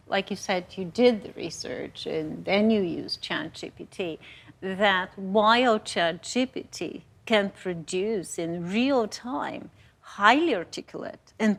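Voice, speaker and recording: A woman speaking as a faculty panelist in a measured, cautionary way. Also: medium pitch, animated, gravelly. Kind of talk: panel discussion.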